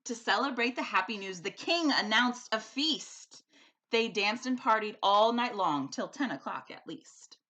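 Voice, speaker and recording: A woman's voice: a little high, a little slow. A woman teacher speaking expressively and emphatically, as in a storybook read-aloud for a school lesson. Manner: animated, emphatic.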